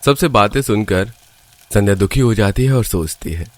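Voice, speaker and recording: A man's voice: fairly low pitch, lively intonation, moderate pace. A man narrating a scripted cartoon storybook tale as the narrator.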